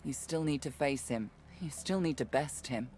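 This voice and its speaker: An actress playing a stern, resolute woman guide in a video game, speaking with deliberate, emphatic weight. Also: very deep voice, darkish tone, a little hiss or hum. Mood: resolute, encouraging. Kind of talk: video game character acting.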